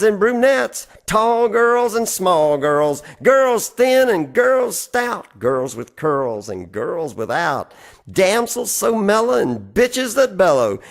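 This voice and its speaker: Man acting a high-pitched, bawdy carnival barker. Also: full character voice. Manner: sing-song rhyming sales patter.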